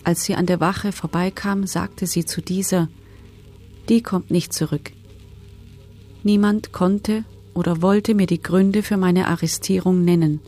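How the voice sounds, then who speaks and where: An actress playing a former female camp prisoner who gives subdued, sober, measured testimony in a documentary radio drama. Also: lowish voice, steady tone, dark tone, faint music behind.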